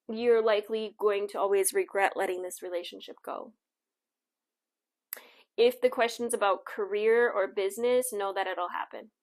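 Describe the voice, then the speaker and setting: Woman giving a casual oracle card reading. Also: medium pitch. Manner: conversational, reassuring asides, frequently checks in with "okay". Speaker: tarot and astrology reader, show host.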